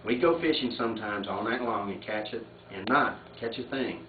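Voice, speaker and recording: A man telling stories and reading aloud informally among family, animated and often breaking into laughter. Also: on the low side, moderate pace. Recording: muffled phone-like audio, echoey, distant, noisy room.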